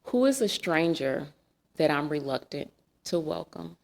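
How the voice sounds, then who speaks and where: A woman's voice: sits low, expressive, measured pace, vocal fry. A woman giving an earnest, reflective sermon in a church, asking the congregation questions. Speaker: guest speaker. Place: church sanctuary.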